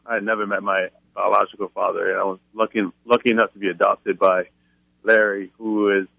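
A man's voice: fairly low pitch, creaky, no room sound. Male guest over a tinny phone line. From France. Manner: earnest storytelling with many restarts. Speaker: radio guest. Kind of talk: phone-in interview.

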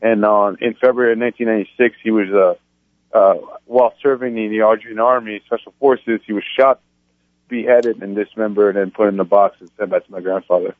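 A man's voice: lowish voice, level tone, fairly quick, dry recording. A man in his thirties from France, telling his story earnestly as a radio guest, with many restarts. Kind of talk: phone-in interview. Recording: tinny phone line.

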